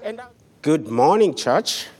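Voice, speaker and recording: A man's voice: a little high, very animated, measured pace, a little mellow, long reverb tail, faint music behind. A man from Kenya with a Kenyan accent, giving an earnest, conversational mission report to a church congregation with touches of humor. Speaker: visiting missionary speaker. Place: church sanctuary.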